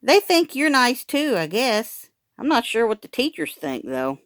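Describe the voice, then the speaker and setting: A woman's voice: on the high side, big pitch swings, unhurried, fairly bright tone, dry recording, clean studio sound, voice pushed up. A woman voicing a third-grade boy, an exasperated big brother in a children's storybook, wry and incredulous. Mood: wry, softening.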